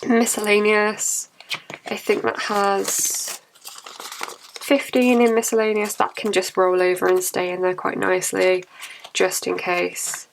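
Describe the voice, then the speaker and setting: A young woman chatting casually to camera about her budget, her voice croaky and cracking from a cold, with chatty, apologetic asides. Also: medium pitch, level tone, unhurried, slight background noise, poor audio. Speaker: budgeting vlogger.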